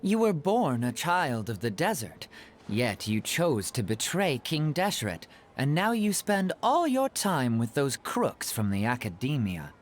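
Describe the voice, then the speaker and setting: A man's voice: mid-range voice, wildly swooping pitch, measured pace, slight background noise. A man playing an evasive, needling friend in an anime video game, with a probing, theatrical delivery. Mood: taunting. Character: evasive male friend turned needling believer.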